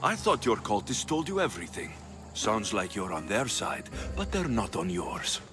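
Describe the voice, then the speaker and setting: A man's voice: lowish voice, very animated, normal speed. A man playing a resolute, earnest Greek mercenary hero in a cinematic video game. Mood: guarded, dry. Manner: resolute, earnest, dramatic delivery. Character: battle-hardened Greek mercenary hero, earnest, defiant older brother. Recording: music under the voice.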